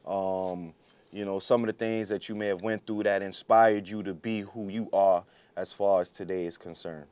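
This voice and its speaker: A man's voice: deep voice, fairly even intonation, moderate pace, a little mellow, smooth, no room sound. A man from Guyana who moved to the US as a child, interviewing in a casual, conversational style. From born in Guyana, came to the US at seven. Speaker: interviewer, co-host.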